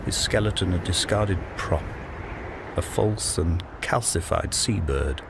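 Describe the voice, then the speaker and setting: A deep, solemn castaway narrator. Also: male voice, gravelly, noisy background. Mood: somber. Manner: solemn, measured, literary phrasing. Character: lonely injured male castaway narrator, grave and poetic. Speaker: game narrator. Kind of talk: scripted dramatic monologue, literary narration. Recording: loud music behind the voice. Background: music.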